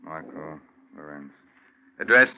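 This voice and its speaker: An actor playing a brisk police officer asking routine questions in a clipped way in a 1940s radio crime drama. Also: male voice, rumbling low, animated, clear, resonant tone, full character voice. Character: brisk, businesslike male police officer taking details. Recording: muffled 1940s radio recording.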